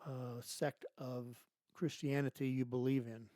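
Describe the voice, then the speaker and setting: A man hosting his own show, delivering an emphatic political monologue full of rhetorical questions and restarts, his voice going hoarse. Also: medium pitch, level tone, measured pace, warm tone, no room sound. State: losing his voice. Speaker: podcast host.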